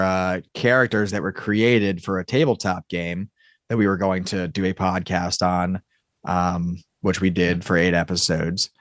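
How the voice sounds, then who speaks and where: A man's voice: deep voice, fairly bright tone, no echo, clean studio sound. A man speaking casually and explaining things as an interview guest who creates audio drama.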